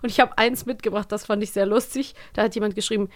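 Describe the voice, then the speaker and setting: A woman's voice: medium pitch, fairly even intonation, quick pace. A woman co-hosting a podcast, chatting casually and with a giggly manner about audio dramas. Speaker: podcast co-host.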